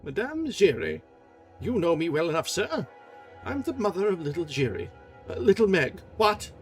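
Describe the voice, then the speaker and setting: A man voicing a rough, solemn older woman who answers bluntly. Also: sits high, big pitch swings, moderate pace, faint music behind, pitched above the performer's own voice. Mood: gruff.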